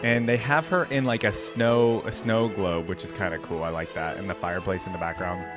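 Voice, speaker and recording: A man's voice: sits low, steady tone, fairly quick, music in the background. A man giving casual, easygoing reaction commentary as a video host, rambling and thinking aloud.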